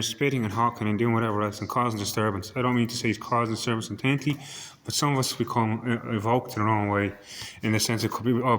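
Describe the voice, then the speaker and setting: Hoarse, husky Irish man talking fast. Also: medium pitch, fairly bright tone, slight background noise. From Ireland. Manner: stumbling, repeats words while searching for them. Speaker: vlogger. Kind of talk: rambling monologue to camera.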